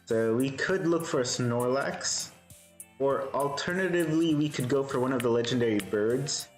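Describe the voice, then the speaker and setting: A man live-streaming a game, with a soothing voice, thinking aloud in a relaxed way and answering chat. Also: medium pitch, moderate pace, roomy sound, faint music behind.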